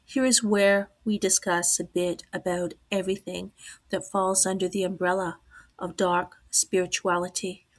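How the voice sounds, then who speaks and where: Hoarse lady hosting an occult podcast, talks real slow and measured, whispers now and then. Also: lowish voice. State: losing her voice, hoarse. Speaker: podcast host. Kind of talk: slow, deliberate talk on the occult.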